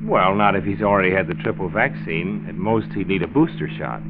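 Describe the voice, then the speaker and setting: An American actor playing an earnest, firm married doctor who explains things emphatically in a 1940s educational radio drama. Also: male voice, low-pitched, a little fast. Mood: earnest, instructive. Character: earnest married male doctor, health commissioner, firm and concerned. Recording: tinny, noisy 1940s radio recording.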